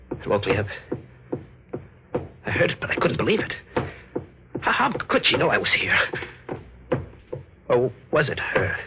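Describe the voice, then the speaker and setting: Hard-boiled convict, old radio mystery. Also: male voice, on the low side. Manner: hard-boiled slangy talk, stammering when rattled. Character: tough, frightened male escaped convict narrating his nightmare. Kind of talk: 1940s radio mystery acting. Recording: dull old radio recording, loud music behind.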